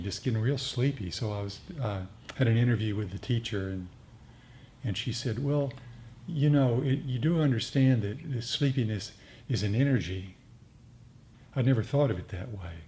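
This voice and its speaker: A man gently instructing a meditation group in an informal, conversational way. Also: medium pitch. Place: indoor meditation group meeting. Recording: clearly noisy room recording.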